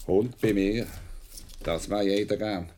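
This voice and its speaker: Radio play casting: dry, gruff Swiss cop, lowish voice, terse delivery. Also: male voice, steady tone, takes it slowly, dark tone, a little hiss or hum. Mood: gruff, probing. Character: gruff, dry-humoured male Swiss policeman questioning a child.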